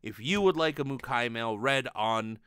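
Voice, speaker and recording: A lively man in his twenties with a bright, higher-set voice rambles through a comedic monologue. He swears freely and keeps it casual, with his pitch swinging around as he talks into a close, dry mic. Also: moderate pace, smooth, no room sound. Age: late 20s. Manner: profane, rambling, animated. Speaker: podcast host. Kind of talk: casual comedic monologue, answering listener mail. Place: small bedroom, indoors. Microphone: close condenser microphone on desk with pop filter.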